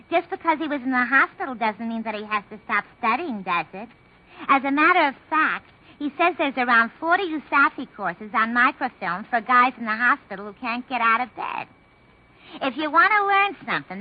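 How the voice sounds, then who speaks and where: A woman's voice: on the high side, very animated, moderate pace. A woman playing a chatty, wisecracking switchboard operator in a 1950s radio comedy monologue, gossipy and slangy. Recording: dull 1950s radio recording.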